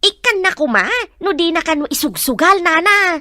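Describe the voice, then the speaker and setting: An actress playing a nosy, brazen village gossip in an Ilocano audio drama, with an Ilocano accent and a sing-song teasing manner. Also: female voice, very high voice, animated, moderate pace, sharp tone, no room sound, studio quality, exaggerated voice. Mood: gossipy.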